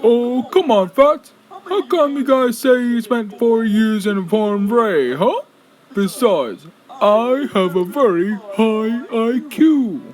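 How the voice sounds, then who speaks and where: A man's voice: very high-pitched, lively intonation, takes it slowly, faint music behind, exaggerated voice. A man voicing a smug, teasing bear in a cartoonish fan dub of an animatronic show. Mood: indignant.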